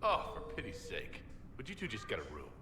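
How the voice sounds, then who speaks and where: Brisk, bright-voiced stern male leader. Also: on the low side, huge pitch swings, full character voice. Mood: accusing, exasperated. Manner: direct, accusing. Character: stern, commanding male fantasy leader questioning a suspect. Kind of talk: video game dialogue acting. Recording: echoey hall reverb, faint background noise.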